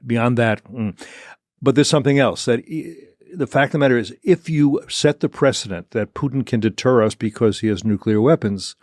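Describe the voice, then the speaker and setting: An older man hosting a discussion, building an argument with stammering restarts and sudden mock quotations. His pitch sits mid-range, and the sound is dry and close. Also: moderate pace, a little mellow, dry recording. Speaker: host. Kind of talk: policy discussion.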